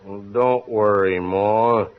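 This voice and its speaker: An actor playing a lazy, deadpan backwoods husband and father, in 1940s radio comedy. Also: on the low side. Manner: deadpan, drawn-out. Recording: dull old radio recording.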